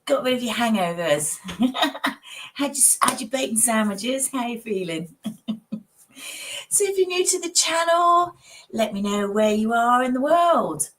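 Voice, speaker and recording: A woman who is husky from a cold, chatting casually with viewers as a psychic livestream host in her home office. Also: fairly high pitch, animated, unhurried, a little hiss or hum. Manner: chatty, warm greetings, frequent little laughs.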